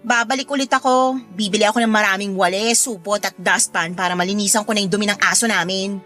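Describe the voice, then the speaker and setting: Actress as a fast-talking, sarcastic, touchy neighbor woman. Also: mid-range voice, steady tone, bright, forceful tone, soft background music, natural voice. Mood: huffy. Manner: snippy, sarcastic. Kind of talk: radio drama acting.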